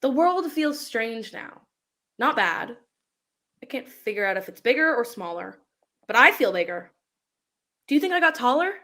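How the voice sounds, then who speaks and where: A woman's voice: a little high, big pitch swings, moderate pace, pauses often, sharp tone, exaggerated voice. A woman acting a wondering, thoughtful young female character in a dramatic script reading.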